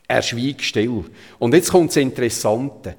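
A male preacher with a Zurich Swiss German accent speaks at a medium pitch, with long hall reverb around his voice. His delivery is conversational yet emphatic, in the style of a sermon that retells scripture. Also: measured pace, creaky, darkish tone. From Zurich, Switzerland.

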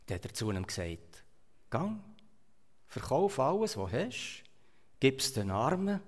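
A man with a Swiss German accent delivers a sermon as a storyteller, rising to loud emphasis and then dropping to quiet asides. His voice sits at an ordinary middle pitch. The sound carries a long reverb, as if from a church hall. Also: sing-song, a little slow. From Switzerland. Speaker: preacher.

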